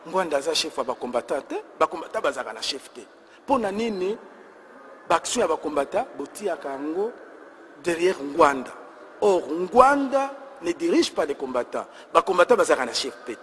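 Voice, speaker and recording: A man's voice: a little high, a bit bright, big-room sound, slight background noise. A man with a Congolese accent who hosts the show and questions his guest in a probing way. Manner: probing, reads out the critics' complaints.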